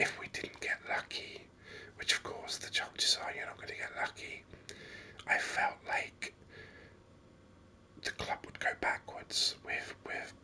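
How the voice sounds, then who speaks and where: A man hosting a gaming video in a breathy ASMR whisper, rambling along unhurriedly close to the microphone. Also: sharp tone. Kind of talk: ASMR whispered game commentary.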